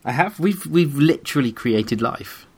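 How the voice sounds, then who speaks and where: A man's voice: animated, measured pace, breathy edge. A young man with a cold, co-hosting a podcast with silly, joking banter. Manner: jokey, swoops into high squeals. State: sniffly with a cold. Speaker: podcast co-host.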